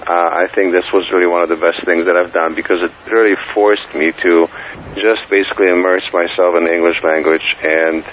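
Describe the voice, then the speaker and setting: A man's voice: low-pitched, level tone, fairly quick. A middle-aged man from Poland with a Polish accent, speaking as an interview guest in a casual, hesitant way with many fillers. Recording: tinny phone line with faint hiss.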